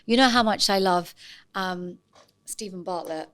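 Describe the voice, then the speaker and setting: A woman's voice: lowish voice, unhurried, fairly bright tone, no room sound. A woman hosting a podcast interview, enthusiastic and affirming toward her guest. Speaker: podcast host.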